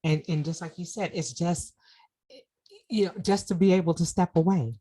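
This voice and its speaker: A woman's voice: fairly low pitch, normal speed, dark tone, slightly rough. A middle-aged woman hosting a podcast interview, talking casually and affirming her guest, often repeating words and saying "you know". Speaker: podcast host.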